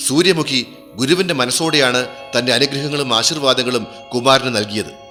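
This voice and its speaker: A man voicing a calm, wise cartoon king. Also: medium pitch, in a large hall, soft background music, natural voice. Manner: measured, formal and explanatory. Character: calm wise adult king answering a riddle. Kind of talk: dubbed cartoon acting.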